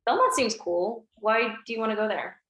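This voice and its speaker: A woman's voice: a little high, big pitch swings, normal speed, a bit bright. Guarded teen girl, read by a woman. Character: guarded, wry teenage girl. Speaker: actor at a table read. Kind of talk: script table read. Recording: echoey room.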